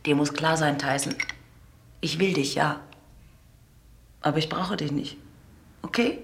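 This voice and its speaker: In an echoey room, a middle-aged lover with a low voice. She talks in short, intimate phrases, playful but firmly independent, as in a radio drama. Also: unhurried, a little hiss or hum. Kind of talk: radio play dialogue.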